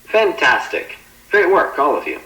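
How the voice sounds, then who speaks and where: A man plays a courteous spacecraft captain in a space horror drama. His voice is bright and animated, set a little high, with formal, encouraging authority. The room adds a clear echo. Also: measured pace, exaggerated voice. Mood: businesslike. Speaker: ship's captain. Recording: roomy echo.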